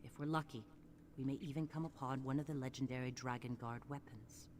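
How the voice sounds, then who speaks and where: An actor voicing an earnest, scholarly adventurer in a fantasy game, speaking in a formal, measured, purposeful way. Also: mid-range voice, fairly even intonation, a little hiss or hum, lightly acted. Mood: earnest, resolute. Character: earnest scholarly adventurer planning a tomb search. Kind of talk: scripted fantasy game dialogue.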